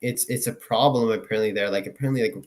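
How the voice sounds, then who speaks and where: A man's voice: on the low side, fast. Young man rambling casually. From Finland. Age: young adult. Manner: rambling, full of fillers and swearing. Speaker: guest. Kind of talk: casual chat.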